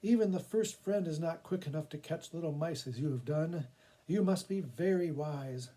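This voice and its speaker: A man's voice: on the high side, normal speed, mellow, voice pushed up, lightly acted. A man voicing a harried, emphatic cave woman in a storybook. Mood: admiring. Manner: emphatic, exclamatory. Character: harried cave woman, exasperated yet grateful.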